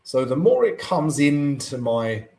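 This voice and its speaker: Croaky guy live streaming trades, voice bounces up and down a lot, keeps going "right?" at chat. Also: mid-range voice, big pitch swings, a little slow, a little mellow. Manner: chatty, keeps asking "right?" to the audience; reads out and answers viewer comments. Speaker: forex trading streamer.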